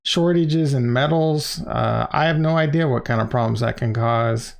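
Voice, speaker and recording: A man's voice: mid-range voice, normal speed, gravelly. A middle-aged man hosting a livestream, explaining things in an informal, slangy, conversational way and repeating phrases for emphasis. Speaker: crypto channel host and livestreamer. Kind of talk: casual, unscripted livestream explainer.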